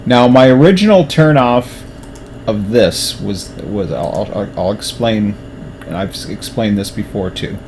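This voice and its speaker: A man's voice: on the low side, measured pace, a little mellow, a little hiss or hum. A man in casual rambling commentary, restarting his sentences and talking to himself, his throat going dry. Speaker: train simulator streamer. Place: at a table at home.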